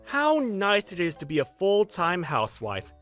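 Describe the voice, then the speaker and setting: A woman's voice: lowish voice, wildly swooping pitch, normal speed, soft background music, voice pushed down. A woman voicing a dismissive, scornful husband in a manga dub, speaking with belittling sarcasm. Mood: mocking. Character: dismissive, scornful husband of exhausted young mother.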